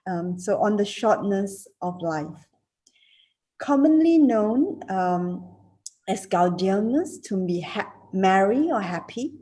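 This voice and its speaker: A woman in her fifties from Singapore, giving a reunion speech over a video call and answering questions, halting with many fillers and restarts. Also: mid-range voice, dark tone. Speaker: keynote speaker, alumna.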